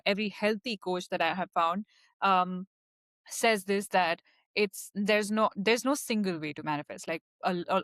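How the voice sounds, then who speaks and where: A woman's voice: medium pitch, a bit bright, no room sound. A woman whose first language is Hindi, a guest on a podcast, explaining ideas in a chatty way with many fillers. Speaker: podcast guest, data scientist. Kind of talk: casual interview chat.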